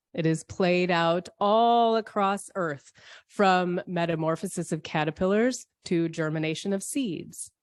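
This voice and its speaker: Female church speaker, lowish voice, unhurried scripted reflection with poetic, deliberate phrasing. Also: darkish tone, dry recording.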